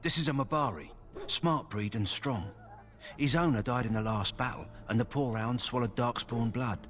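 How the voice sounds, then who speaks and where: A man's voice: mid-range voice, moderate pace, a bit bright, soft background music, close to an everyday voice. An actor playing a male kennel master in a fantasy game, talking steadily and practically about a sick war hound. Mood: concerned.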